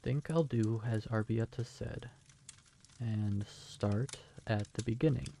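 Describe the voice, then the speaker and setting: A man playing a calm, patient storyteller telling a bedtime tale in a fantasy audio drama. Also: mid-range voice, creaky.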